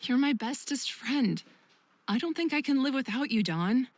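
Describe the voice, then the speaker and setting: A woman's voice: high voice, animated, moderate pace, no room sound. A woman playing a caring, protective young best friend in a visual novel game, earnest and emotive. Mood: pleading, worried.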